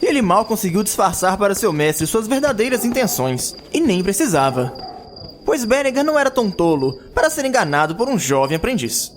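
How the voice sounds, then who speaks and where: A man's voice: high-pitched, sing-song, brisk, slight background noise. A man narrating a scripted fantasy audio drama in a dramatic storytelling style. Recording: background music.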